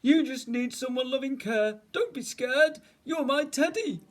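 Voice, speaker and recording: A woman's voice: high-pitched, lively intonation, measured pace, voice pushed up. Woman putting on a big whiny storybook bear. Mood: eager, comforting. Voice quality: whiny, whimpering. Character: great big sad, lonely, silly bear. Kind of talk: children's storybook read-aloud.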